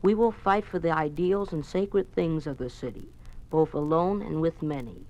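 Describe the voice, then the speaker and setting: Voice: mid-range voice, normal speed, darkish tone, highs cut off, close to an everyday voice. An actor with an American accent playing an earnest, naive young schoolboy in a 1950s radio drama. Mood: solemn reciting. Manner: eager, naive, polite. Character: earnest, eager young schoolboy, a Boy Scout and obedient son.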